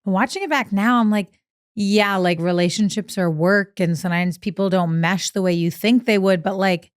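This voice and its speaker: A young woman co-hosting a podcast, chatting casually and telling stories with quick opinions. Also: medium pitch, no room sound, clean studio sound. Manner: animated storyteller, quick opinions. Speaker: podcast co-host. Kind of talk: casual recap chat.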